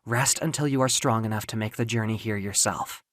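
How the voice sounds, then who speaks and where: A man voicing the gentle ghost of a young woman in an audio comic, hushed and soothing, with music behind. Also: lowish voice, a little fast, no echo, studio quality, close to an everyday voice. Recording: music under the voice.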